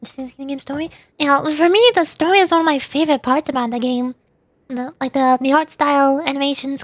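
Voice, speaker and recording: A woman's voice: high-pitched, no echo. A woman VTuber giving casual commentary on a game stream into a close microphone.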